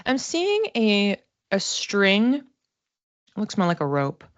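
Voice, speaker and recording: A woman giving a casual livestream psychic reading, talking to viewers conversationally and thinking aloud in halting phrases. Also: mid-range voice, huge pitch swings, no room sound, highs cut off. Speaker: psychic reader and class teacher.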